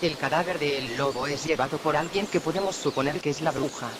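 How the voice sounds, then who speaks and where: A man's voice: on the high side, fairly quick, heavy background noise. A man narrating a story recap as commentary for a video.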